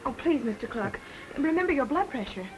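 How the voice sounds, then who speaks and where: An actress playing a quick-witted, spirited young career woman in a 1930s mystery film, brisk and pert. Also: high-pitched, lively intonation, music in the background. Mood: tart. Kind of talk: 1930s Hollywood film acting. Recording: noisy vintage 1930s film soundtrack.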